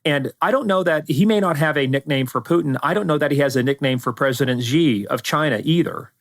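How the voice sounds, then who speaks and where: A man's voice: fairly high pitch, brisk, dry recording, studio quality. A middle-aged or older man with an American accent, giving emphatic, informal, anecdotal answers as an expert interview guest. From United States, spent part of childhood in Iran. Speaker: security expert guest, former law enforcement advisor.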